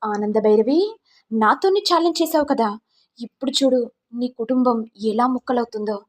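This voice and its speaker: Woman as scheming young daughter-in-law, falsely sweet, gloating, high husky voice, story villain. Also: normal speed, natural voice. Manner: gloating, mock-concerned.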